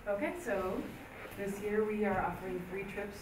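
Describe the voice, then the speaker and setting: A woman's voice: mid-range voice, moderate pace. Staff woman reading out dates, mid voice, background noise. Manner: listing dates plainly. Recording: echoey room, distant mic, background noise.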